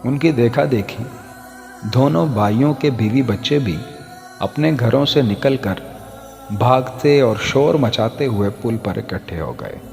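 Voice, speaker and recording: Slow-paced male storyteller in heavy reverb. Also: mid-range voice, animated, vocal fry, darkish tone. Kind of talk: scripted moral story narration. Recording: long hall reverb, music underneath. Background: background music.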